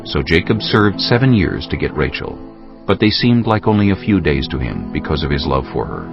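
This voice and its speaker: Very deep, gravelly male Bible narrator. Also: very animated, normal speed. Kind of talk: scripted dramatic Bible narration. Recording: slightly dull sound, music underneath. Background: music.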